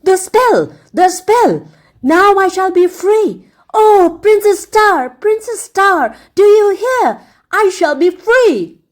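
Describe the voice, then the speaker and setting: A woman voicing Humpty Dumpty, an excitable talking egg who shouts wildly. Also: very high-pitched, takes it slowly, pitched above the performer's own voice, heavily acted. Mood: excited.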